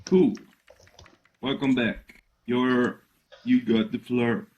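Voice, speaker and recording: Slow, laid-back man hosting readings. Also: mid-range voice, steady tone, highs cut off. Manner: laid-back, brief remarks.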